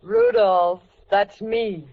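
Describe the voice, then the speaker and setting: An actress playing an indignant, chummy middle-aged wife in a vintage radio comedy, fluttery and emphatic. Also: female voice, medium pitch, wildly swooping pitch, very slow, dull audio, heavily acted. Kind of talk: 1940s-50s radio sitcom acting. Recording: very noisy old radio, audience behind.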